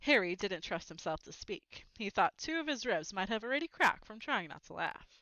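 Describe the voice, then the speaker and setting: A woman's voice: mid-range voice, very animated, normal speed, pushed and bright, no echo, dull audio. A woman reading a storybook aloud as narrator, expressively.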